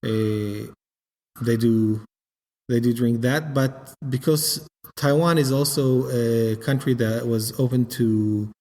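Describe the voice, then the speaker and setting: Halting Israeli-born winemaker in reverb. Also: male voice, medium pitch, mellow. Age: 50s. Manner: halting, repeats words while searching for them. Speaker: winemaker guest. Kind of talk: casual interview. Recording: long hall reverb.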